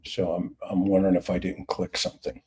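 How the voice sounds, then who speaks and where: A man's voice: low voice, completely flat delivery, a little fast, a little mellow, slight background noise. A man hosting a live video show, distracted and apologetic while fixing technical problems. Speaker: host. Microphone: headset.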